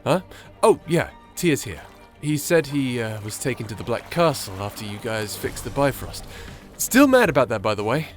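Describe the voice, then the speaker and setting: A man's voice: mid-range voice, very animated, moderate pace, hardly any pauses, faint music behind, close to an everyday voice. A man playing an irreverent, sly rogue companion in an ASMR audio roleplay, with a casual, wry way of talking.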